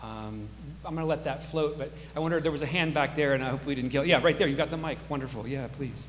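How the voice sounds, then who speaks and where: Fast-talking male moderator in an echoey hall. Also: a little high. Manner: reflective, intellectual, appreciative of speakers. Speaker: moderator of an audience discussion. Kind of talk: live discussion moderating, thoughtful and off the cuff. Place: large auditorium with a balcony. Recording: echoey reverberant hall, faint hum and noise.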